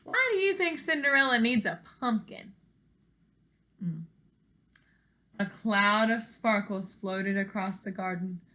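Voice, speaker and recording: A young woman reading a children's storybook aloud as a teacher, expressive, with deliberate emphasis. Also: medium pitch. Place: living room at home.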